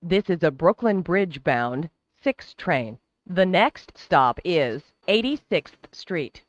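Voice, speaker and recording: A train announcer delivering scripted transit announcements in a formal, measured, clearly enunciated way. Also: medium pitch, big pitch swings, no room sound. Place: recorded train public-address announcement.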